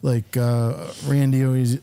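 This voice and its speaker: A man's voice: medium pitch, flat delivery, unhurried, dark tone, clean tone. A man hosting a talk show in a casual, conversational interview style.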